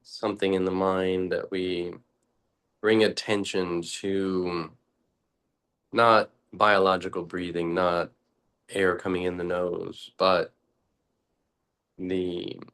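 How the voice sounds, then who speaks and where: A man leading a guided meditation talk as a teacher, speaking deliberately in short, halting phrases with long pauses. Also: deep voice, level tone, a bit bright, clean tone.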